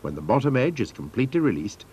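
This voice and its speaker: A man's voice: mid-range voice, very animated, fast talker, darkish tone, slightly rough. A man narrating scripted instructions for a training film in a formal, methodical manner.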